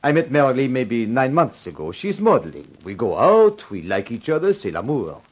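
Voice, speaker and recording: A man's voice: mid-range voice, huge pitch swings, normal speed, husky. An actor playing a suave but nervous Frenchman in a radio crime drama, speaking with a French accent in careful, hesitant phrases. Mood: wistful, measured. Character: suave, nervous French lover, pleading his innocence.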